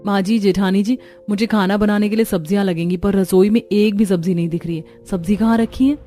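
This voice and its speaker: A woman's voice: medium pitch, fairly even intonation, fairly quick, dark tone, faint music behind, natural voice. A woman voicing a young newlywed bride in a cartoon family drama, polite but given to grumbling and mixing in English phrases. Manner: mixes English phrases into speech.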